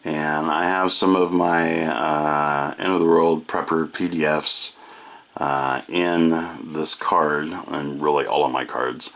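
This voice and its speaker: A very low-pitched American man walks through a product demo in a casual, matter-of-fact voice. His pace is slow and unhurried as he explains each feature. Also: steady tone, creaky, a bit bright. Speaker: product reviewer.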